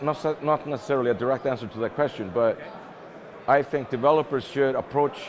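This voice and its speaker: A middle-aged man from Iceland giving casual interview answers, thinking aloud and repeating words as he goes. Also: mid-range voice. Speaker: interview guest, game publishing executive. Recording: busy event crowd behind the voice.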